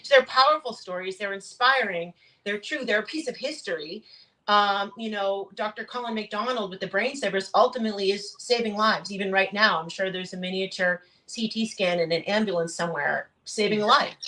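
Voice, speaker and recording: A woman's voice: medium pitch, fairly quick, sharp tone, low-quality recording. Reflective, earnest woman in conversation. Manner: earnest, reflective, often tags points with "right?". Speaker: guest voice actor, audiobook narrator. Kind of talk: casual interview conversation.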